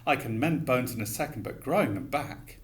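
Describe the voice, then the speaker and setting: A man's voice: medium pitch, animated, fairly quick, lightly acted. A man voicing a stern older school nurse who speaks grimly and bossily. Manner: grim and bossy. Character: stern, brisk older school matron woman.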